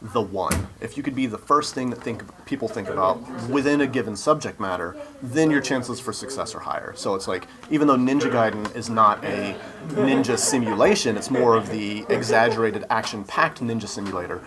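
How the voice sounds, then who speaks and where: A man's voice: lowish voice, fairly quick. A man giving earnest, explanatory answers as a guest in a casual interview. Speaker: interview guest, video game developer. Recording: crowd chatter around the voice. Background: crowd chatter.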